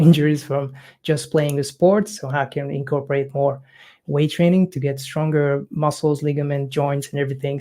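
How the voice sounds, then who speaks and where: A man's voice: fairly high pitch, a little mellow. Guy coach, young, lots of ums and uhs, casual chat. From Hungary. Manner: halting, many fillers, non-native phrasing.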